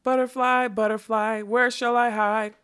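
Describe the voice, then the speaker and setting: A woman's voice: medium pitch, normal speed, fairly bright tone, natural voice. A woman voicing a whimsical, scatterbrained male butterfly in a storybook, theatrical and rambling, given to bursts of song and quotation. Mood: singing, wistful. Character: whimsical scatterbrained male butterfly who sings and quotes nonsense. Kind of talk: acted audiobook storytelling.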